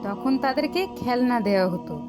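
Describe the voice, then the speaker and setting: A woman acting as a devout, caring cartoon mum with a fairly high voice. She advises and explains gently while music plays prominently behind her. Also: lively intonation, normal speed, lightly acted. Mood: caring, gentle. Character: caring, devout mother and wife. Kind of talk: cartoon dialogue.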